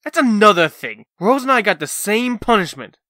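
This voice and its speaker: Man playing a sharp, whining young slacker. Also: high-pitched, big pitch swings, normal speed, no room sound, studio quality, full character voice. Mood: indignant. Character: cocky, whining young male slacker, resentful. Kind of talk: comic dub voice acting.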